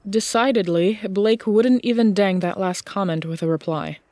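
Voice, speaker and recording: A woman reading scripted fiction as an audiobook narrator, in a measured storytelling manner. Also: mid-range voice, warm tone, dry recording.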